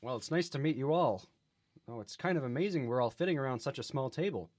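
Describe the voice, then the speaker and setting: A man's voice: mid-range voice, expressive, fast talker, darkish tone, no echo, studio quality, lightly acted. A man playing an earnest, bewildered amnesiac newcomer in an improvised radio comedy drama. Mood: polite, curious. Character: bewildered amnesiac male newcomer to a space prison.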